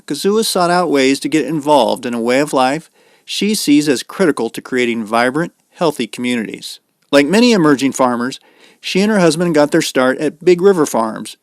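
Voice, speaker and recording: A man's voice: a little high, dry recording, studio quality. A man with an American accent reading scripted narration as a podcast host.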